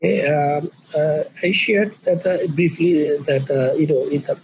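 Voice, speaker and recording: A man's voice: on the high side, steady tone, unhurried, slight background noise. A middle-aged man from Bangladesh, a company co-founder being interviewed, talking earnestly with many fillers. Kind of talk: casual interview. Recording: muffled, dry lo-fi sound.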